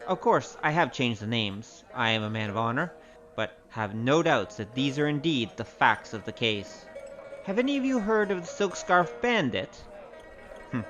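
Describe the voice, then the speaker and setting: A man's voice: medium pitch, big pitch swings, normal speed, fairly bright tone, lo-fi sound. A male actor playing a roguish, wry storyteller with a grandiose, theatrical manner in a comic audio drama. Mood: grand, confiding, then teasing, relishing. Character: roguish, boastful storyteller, wry poor adventurer. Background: music.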